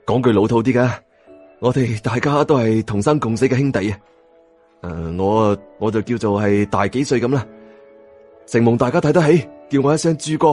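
A man voicing a genial but calculating middle-aged Cantonese gang boss, hearty and deliberate, in an audiobook. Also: mid-range voice, a little mellow, natural voice. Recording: music bed behind voice.

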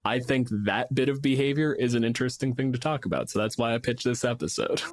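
A man's voice: mid-range voice, fast, no room sound, clean studio sound. A man from Canada co-hosting a podcast, explaining and arguing his points casually with some swearing. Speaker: podcast co-host and game master.

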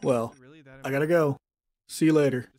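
Man as a skeptical, mid-pitched young guy. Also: moderate pace, a little mellow, lightly acted. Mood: matter-of-fact. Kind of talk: audio drama acting, casual chat.

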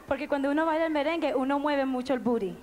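A woman's voice: high voice, fast talker, a little mellow. A woman from Colombia, a dancer in a group, explaining playfully in a stage interview. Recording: old VHS tape hiss.

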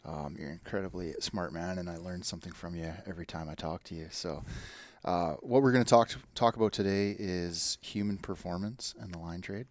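A man's voice: deep voice, fairly even intonation, fairly quick, few pauses. A man hosting a podcast, talking earnestly and enthusiastically with plenty of fillers and restarts. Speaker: podcast host. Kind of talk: casual podcast intro and interview.

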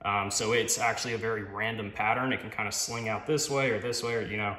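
A man's voice: on the low side, fairly even intonation, fast, sharp tone. A man giving a casual hands-on tool review, explaining informally and thinking aloud as he goes, recorded at a garage workbench. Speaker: product reviewer, hobbyist car detailer. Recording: roomy echo, clear background noise, clicks.

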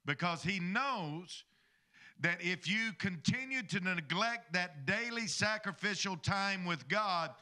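A middle-aged pastor preaches from a church stage, his voice pitched high and ringing with a very bright, edgy tone. He holds a handheld microphone and keeps drawing amens from the congregation. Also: expressive, slow pace. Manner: preaching with call-and-response asides to the congregation. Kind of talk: sermon.

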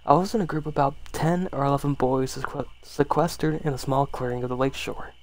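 A man's voice: medium pitch, fairly even intonation, a little fast, slight background noise, poor audio. A man reading a horror story aloud as an ASMR storyteller, sometimes stumbling and rereading phrases.

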